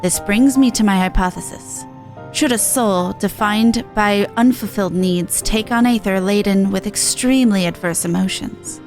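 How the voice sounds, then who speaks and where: A woman's voice: medium pitch, normal speed, a little mellow, faint music behind, close to an everyday voice. A woman voicing a learned magical scholar in a video game, lecturing in a formal, pedantic way. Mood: scholarly, thoughtful. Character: learned, unhurried magical scholar and master creature. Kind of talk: video game quest dialogue read aloud.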